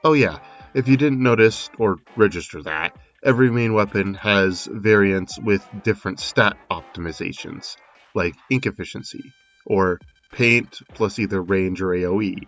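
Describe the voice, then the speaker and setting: A man's voice: lowish voice, normal speed, fairly bright tone, muffled recording. A man narrating a scripted video game analysis, with conversational asides thrown in. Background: music.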